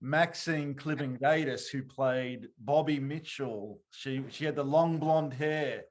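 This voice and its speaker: A man chatting as an interview host, a little halting and reassuring. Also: medium pitch, roomy sound. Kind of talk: casual interview chat.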